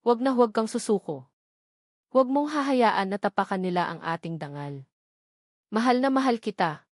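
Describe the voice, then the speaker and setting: A woman's voice: medium pitch, animated, normal speed, no room sound, studio quality, lightly acted. A woman voicing a loving, resolute mother reading out her farewell letter in a solemn, earnest drama style. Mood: urging.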